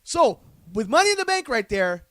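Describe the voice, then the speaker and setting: A man's voice: very high-pitched, big pitch swings, normal speed, sharp tone, dry recording, clean studio sound. A man co-hosting a casual sports podcast, insistently laying out his case point by point. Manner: insistent, lays out arguments point by point. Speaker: podcast co-host.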